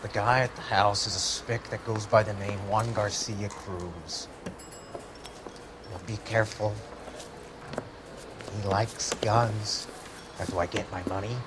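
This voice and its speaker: An actor playing an anxious, demanding small-time addict who works as a police informant in a video game. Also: male voice, lowish voice, steady tone, unhurried, pauses often, background noise, lightly acted. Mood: wary. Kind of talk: video game cutscene acting.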